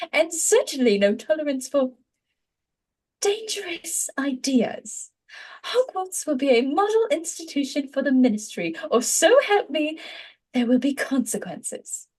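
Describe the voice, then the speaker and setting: A woman's voice: sits high, sing-song, a little slow, a little mellow. A woman playing a prim, authoritarian school disciplinarian, giving a stern, clipped scolding with menace.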